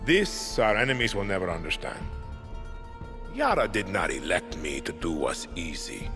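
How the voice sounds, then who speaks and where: A man playing a menacing, smug island president in a game, speaking with a Latin American accent in a deliberate, theatrical manner. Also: lowish voice, bright, forceful tone, big-room sound, full character voice. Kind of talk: political speech and dramatic game acting.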